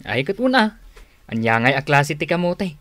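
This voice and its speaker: A woman's voice: sits low, sing-song, moderate pace, a bit bright, no room sound, clean studio sound. A woman playing an inquisitive, agreeable family member in a radio drama, with an Ilocano accent. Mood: curious.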